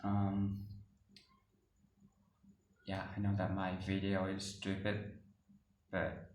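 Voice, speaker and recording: Hesitant man, low and flat. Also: slow pace, recorded from a distance. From Laos. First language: Hmong. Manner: hesitant, many fillers and restarts. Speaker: YouTuber. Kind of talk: casual vlog talk to viewers. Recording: echoey room, voice off-mic, faint ambience.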